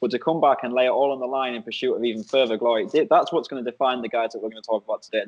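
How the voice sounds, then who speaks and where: Measured young man, slightly dull audio. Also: mid-range voice. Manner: fluent, measured, opinionated. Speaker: co-host. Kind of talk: casual sports analysis.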